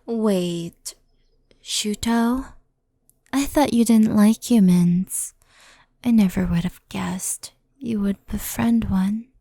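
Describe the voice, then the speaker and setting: A woman voicing a stern, composed fox-spirit in an ASMR roleplay, speaking softly in a measured, formal, admonishing way. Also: medium pitch, dry recording. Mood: surprised, wary. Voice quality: breathy, soft. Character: stern, composed female fox-spirit yokai, cautious and scolding.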